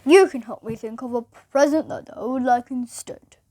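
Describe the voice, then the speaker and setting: A woman's voice: on the high side, moderate pace, dark tone, dry recording, close to an everyday voice. A female reader voicing a jolly, kindly old man in a children's storybook. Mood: kindly, reassuring.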